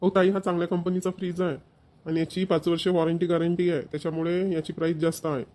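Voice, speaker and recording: A man's voice: on the high side, level tone, fast talker, darkish tone, close to an everyday voice. A man voicing a polite shop salesman. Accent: Marathi (Maharashtra). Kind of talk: cartoon voice acting.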